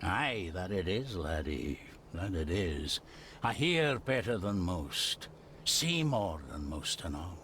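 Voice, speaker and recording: An actor playing a wary, blunt Scottish manservant in a video game drama, speaking cryptically in broad dialect. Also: male voice, low-pitched, very animated, takes it slowly, fairly bright tone, a little hiss or hum, full character voice. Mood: knowing.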